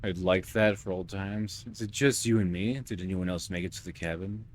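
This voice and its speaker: Low-voiced male gamer reading aloud. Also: fairly even intonation, normal speed, slight background noise. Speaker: gamer doing a playthrough. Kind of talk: reading game dialogue choices aloud.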